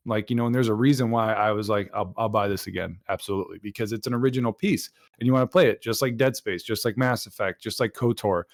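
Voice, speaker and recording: A man's voice: on the low side, fast, few pauses, dry recording. Casual, opinionated man on a dry mic. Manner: swearing, opinionated. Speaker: podcast co-host. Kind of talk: casual panel chat about video games.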